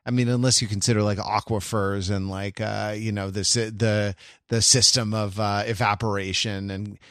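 Male podcast host, middle-aged, slightly low voice, very dry close sound, wry and wordy banter. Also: flat delivery, moderate pace, fairly bright tone, no echo.

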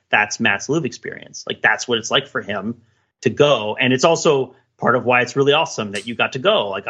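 A middle-aged man talks through ideas on a podcast in a wordy, mock-academic way. His voice is bright and forceful with a husky edge, at an ordinary pitch. The recording is a little dull and lo-fi. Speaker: podcast panelist. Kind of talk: casual analytical podcast discussion.

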